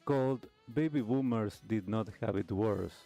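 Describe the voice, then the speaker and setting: A man hosting a show and giving unscripted commentary in a halting, hesitant way. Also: lowish voice, gravelly, dark tone, dull audio, faint music behind. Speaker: show host. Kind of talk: unscripted commentary introducing a video.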